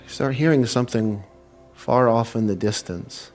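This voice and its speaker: A man guiding a meditation in slow, soothing, measured phrases with long pauses. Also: mid-range voice, a little mellow, soft background music. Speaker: meditation leader and spiritual speaker.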